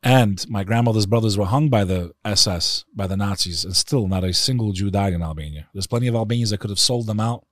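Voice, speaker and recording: A man with a very deep voice and a New York accent, speaking as a podcast guest in passionate, emphatic conversation. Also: fairly quick, few pauses, no room sound. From United States.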